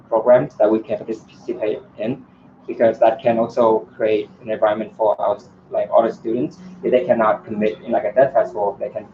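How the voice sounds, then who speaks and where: A man's voice: lowish voice, slight background noise. A man answering candidate questions at a student election forum, hesitant and full of ums and restarts. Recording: echoey room, dull lo-fi sound.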